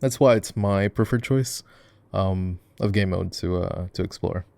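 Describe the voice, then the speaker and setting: A man acts out an easygoing gamer boyfriend with a fairly deep voice full of vocal fry. He chats casually and affectionately, teasing his partner as he coaches in a roleplay over gameplay. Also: unhurried, a little mellow, dry recording. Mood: easygoing, explaining. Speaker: voice actor. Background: keyboard typing and mouse clicking.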